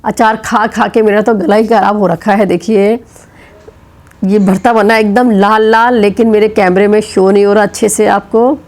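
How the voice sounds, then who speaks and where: A woman's voice: medium pitch, fairly even intonation, normal speed, a little mellow. Feverish woman chatting through kitchen chores. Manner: chatty, explaining chores as she goes. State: sick with fever and sore throat. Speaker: vlogger. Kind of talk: casual home vlog narration. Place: home kitchen.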